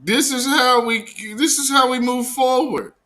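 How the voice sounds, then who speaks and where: A man's voice: very high voice, steady tone, unhurried, bright, forceful tone. An American man hosting a live commentary show, talking in a casual, opinionated, mocking and sarcastic way. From Portland, Oregon, United States.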